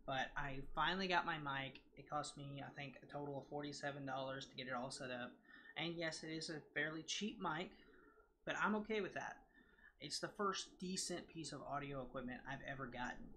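A man in his twenties with a nasal voice, chatting casually to camera in a rambling, excited way full of fillers and restarts, recorded close on a desk mic in a large room at home. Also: a little high, fairly quick, a little hiss or hum. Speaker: gaming vlogger. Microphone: desk mic on a scissor arm, close.

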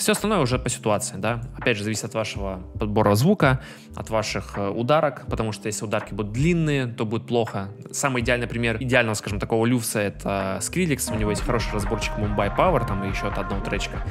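A young man explaining music production in an informal, slangy tutorial style, talking into a desk microphone in a home room. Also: on the low side. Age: young adult. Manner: informal, slangy, peppered with tag words. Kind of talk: casual screen-recorded tutorial, explaining. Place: small home room, indoors. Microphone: desk microphone close to the mouth. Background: loud music from the track being mixed.

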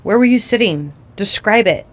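A woman's voice: medium pitch, lively intonation, normal speed, lightly acted. A woman voicing her father, a curious mechanic coaxing details out of his young daughter with probing questions.

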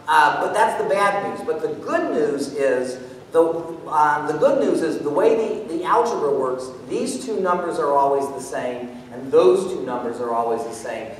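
High-voiced man presenting in an echoey hall. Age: middle-aged. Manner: animated, explaining step by step. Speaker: presenter demonstrating mental math. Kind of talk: live lecture-demonstration to an audience. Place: large auditorium stage, indoors. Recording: echoey hall from far off, background noise.

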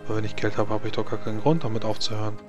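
A man's voice: fairly low pitch, fairly even intonation, rapid-fire, darkish tone, soft background music, lightly acted. A man voicing a stubborn, tired little girl in short, simple, insistent replies for a tabletop game. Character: stubborn, tired little girl addicted to a drink, dreaming of knighthood.

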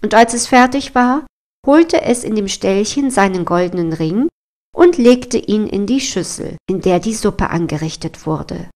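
A woman's voice: mid-range voice, lively intonation, a little slow, a little mellow. A woman storyteller reading a scripted fairy tale narration. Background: music.

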